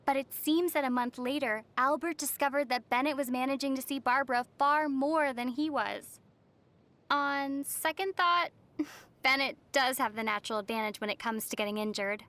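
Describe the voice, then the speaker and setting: A young woman playing a wry, gently teasing traveler heroine in a video game, with a playful, measured delivery. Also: high-pitched. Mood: amused, gossipy.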